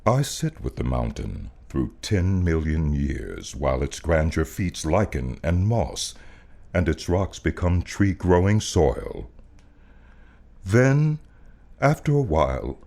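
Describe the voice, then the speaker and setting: American male poetry reader, extremely deep voice, dramatic and wide-ranging delivery. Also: creaky. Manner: deliberate, expressive, theatrical phrasing. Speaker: voice artist reading a poem.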